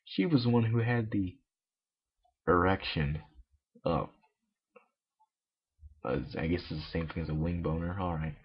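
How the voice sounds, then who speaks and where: A man's voice: low-pitched, unhurried, long pauses, breathy edge. A man narrating a story as an amateur reader, with joking asides, on a dull lo-fi home recording. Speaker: amateur fan fiction reader.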